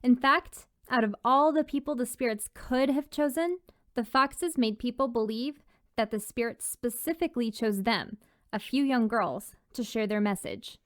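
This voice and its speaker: Higher-voiced woman narrating, dry close sound. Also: normal speed, no echo. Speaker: podcast story narrator. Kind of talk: scripted storytelling narration.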